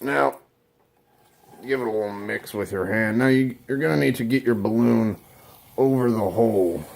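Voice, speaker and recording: A man with a heavily gravelly, fry-laden voice hosts a casual homemade how-to. His delivery is halting and unsure, rambling along and often trailing off. The pitch sits in an ordinary male middle range. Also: darkish tone. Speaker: host of a DIY video. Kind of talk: casual, improvised how-to demonstration.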